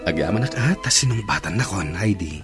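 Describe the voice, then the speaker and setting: A man's voice: sits low, animated, a little fast, over music. A man playing a persuasive, evasive young boyfriend in a radio drama. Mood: sweet, coaxing. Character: smooth-talking, evasive young boyfriend making promises.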